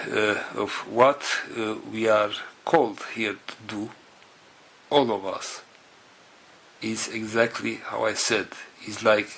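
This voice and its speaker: Very slow, low-voiced earnest man. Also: fairly bright tone, slightly rough. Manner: halting, earnest, phrases broken by pauses. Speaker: spiritual group leader. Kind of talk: spiritual address to group members.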